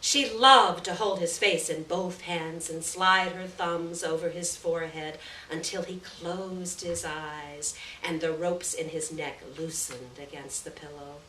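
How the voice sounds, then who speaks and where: A woman reading a literary short story aloud at a live event, with measured, deliberate phrasing and stressed words. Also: fairly low pitch, fairly even intonation, pushed and bright. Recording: distant roomy live recording, faint noise.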